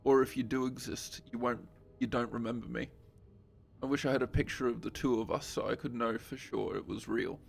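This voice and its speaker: A man reading as a soft, lovesick letter writer, creaky-voiced. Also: mid-range voice, fairly even intonation, a little fast. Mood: anxious longing. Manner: soft, earnest, intimate reading.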